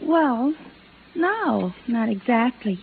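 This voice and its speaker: A woman's voice: fairly high pitch, very animated, slow, gravelly, full character voice. An actress playing an anxious, flighty young woman in a 1950s radio drama, fretful and pleading. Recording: tinny old radio recording, faint noise.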